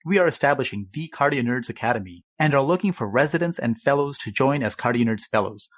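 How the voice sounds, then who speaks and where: A man's voice: medium pitch, expressive, fast. A man hosting a medical podcast, speaking in an upbeat, gushing way. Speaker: podcast host.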